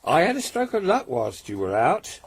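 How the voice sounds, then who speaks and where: An actor playing a gentle, good-natured husband who works as a servant, with a husky voice. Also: male voice, fairly high pitch, wildly swooping pitch, normal speed, slight background noise, exaggerated voice. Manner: gentle, affectionate. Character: kindly, good-natured middle-aged male servant.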